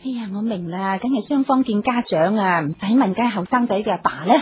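A woman's voice: mid-range voice, quick pace, lightly acted. A woman playing a chatty, meddling young secretary in a radio drama, coaxing and gossipy. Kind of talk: Cantonese radio drama acting.

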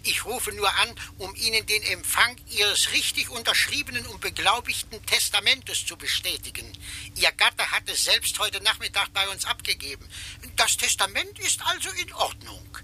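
An actor playing a fussy, overly polite solicitor who speaks with halting, insinuating courtesy in a radio drama. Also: male voice, sits high, very animated, sharp tone, a little hiss or hum, heavily acted, low-quality recording. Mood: smooth, insinuating. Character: fussy middle-aged male solicitor, smooth, insinuating. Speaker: lawyer on the phone. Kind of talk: German radio drama acting.

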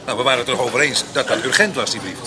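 A man's voice: mid-range voice, rapid-fire, crowd noise, lightly acted. An actor playing a fussy, nagging middle-aged male client with a persistent, formal manner, in a radio drama. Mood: worried, nagging.

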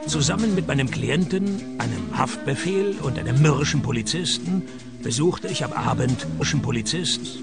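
Wisecracking detective narrator, gravelly, deadpan, varied intonation, radio play. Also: male voice, mid-range voice, normal speed, loud music behind the voice. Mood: dry, laconic. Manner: deadpan, dry, sardonic. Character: laconic, wisecracking male private detective narrator.

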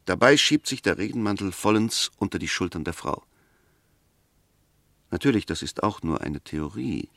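Male, low voice, dry close sound. a methodical, insistent defender in a radio drama, precise and argumentative. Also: lively intonation, normal speed, pauses often, fairly bright tone, no echo. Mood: measured, explanatory. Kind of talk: German radio play acting.

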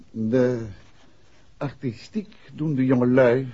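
An actor playing a shrewd, assured gentleman detective in a 1960s Dutch radio play, with a deliberate, probing delivery. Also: mid-range voice, gravelly, husky, exaggerated voice. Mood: probing, insistent. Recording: dull, muffled vintage radio recording.

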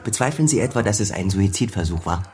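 An actor playing a weary, defensive son and former officer in a naturalistic radio crime drama, speaking in a bitter, guarded way. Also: male voice, deep voice, expressive, fast, darkish tone. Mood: defensive, wary. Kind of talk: naturalistic German radio play dialogue.

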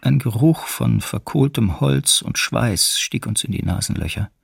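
An actor playing a grave wartime survivor recalling his arrest in a radio drama, speaking in a measured, restrained, somber way. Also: mid-range voice, creaky, breathy edge, no echo, studio quality, heavily acted. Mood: grim. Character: grave partisan-linked husband recalling arrest and captivity.

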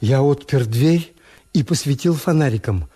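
An actor playing a reserved, wary male hotel owner who narrates and speaks in a restrained, measured way in a radio drama. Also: medium pitch, animated, mellow, husky. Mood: brooding narration. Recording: Soviet radio play with music underneath.

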